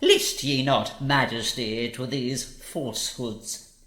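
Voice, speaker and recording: A man playing a greedy, scheming male lord who flatters the king in old-fashioned phrases. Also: mid-range voice, slow pace, reverberant.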